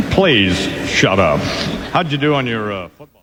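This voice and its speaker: A man hosting morning radio, bantering casually and reading ads live with gruff, teasing asides. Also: medium pitch, sing-song, takes it slowly, noisy background.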